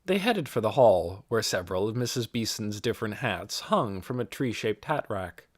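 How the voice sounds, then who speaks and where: An audiobook narrator reads literary prose from a script. The voice sits in a middle register and moves animatedly up and down in pitch. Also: big pitch swings, moderate pace.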